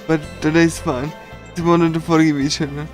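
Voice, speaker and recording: A man reading a visual novel aloud on a casual livestream, in a halting, pieced-together way. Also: on the high side, little pitch movement. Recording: music behind the voice. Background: game music.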